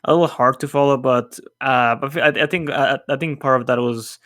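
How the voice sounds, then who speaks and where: A man chatting casually as a podcast panelist, halting and repeating himself in slangy speech. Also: mid-range voice, fairly even intonation, a bit bright. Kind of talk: casual chat.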